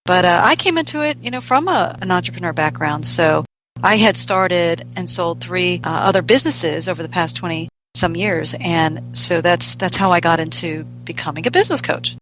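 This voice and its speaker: A woman's voice: lowish voice, moderate pace, a bit bright, soft background music, rough recording. A middle-aged woman raised in the United States, answering conversationally as a business coach being interviewed. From United States, born in South Korea and immigrated at four. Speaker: business coach, podcast guest.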